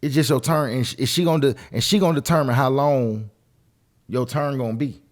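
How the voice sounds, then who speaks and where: An opinionated man chatting on a podcast in a medium-pitched voice. He speaks casually and drives points home by repeating his phrases with emphasis. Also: a little fast. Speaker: podcast co-host.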